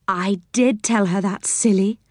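A woman voicing a lively, put-out female friend in a storybook, chatty and exasperated. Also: medium pitch, unhurried, no room sound, clean studio sound, close to an everyday voice.

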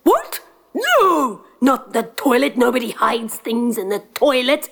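A woman voicing an evil giant magician who speaks slowly and with menace. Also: lively intonation, gravelly, heavily acted. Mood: scornful. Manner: slow, booming, menacing. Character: huge evil male giant magician, gruff.

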